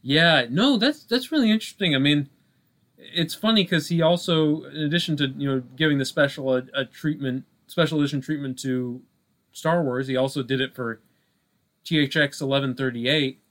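A man co-hosting a casual film discussion podcast, speaking haltingly and peppering his sentences with "you know" and "like". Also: on the high side, a bit bright. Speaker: podcast co-host.

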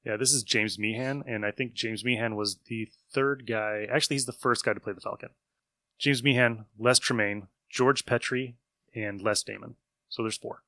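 A man's voice: mid-range voice, steady tone, moderate pace, bright, forceful tone, dry recording. A man hosting a podcast, chatting casually and informally about his opinions. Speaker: podcast host. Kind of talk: casual discussion.

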